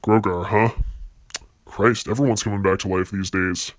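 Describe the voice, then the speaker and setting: A man voicing a gruff, blunt, sardonic card duelist with a menacing edge for an amateur anime-style series. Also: fairly low pitch, fairly even intonation, normal speed, no room sound, clean studio sound, lightly acted. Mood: serious, grim.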